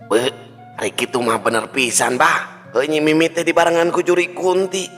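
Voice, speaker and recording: A man's voice: on the high side, steady tone, moderate pace, a bit bright, pitched above the performer's own voice, natural voice. A man with a Sundanese accent voicing an earnest, worried village man in a folk tale, speaking emphatically. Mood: agreeing. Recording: long hall reverb with music.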